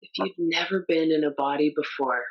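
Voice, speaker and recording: Female meditation guide, very low voice, gentle and unhurried. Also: lo-fi sound.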